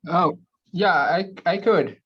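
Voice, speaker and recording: A man from Verde, a researcher introducing himself casually and haltingly over a low-fidelity call. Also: a little hiss or hum. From Cape Verde. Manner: halting, hesitant. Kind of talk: casual, unprepared self-introduction. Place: online seminar call. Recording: dull, lo-fi call audio.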